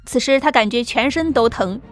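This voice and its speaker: High-pitched woman narrating a story. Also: normal speed. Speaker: audiobook narrator. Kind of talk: scripted dramatic story narration. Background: background music.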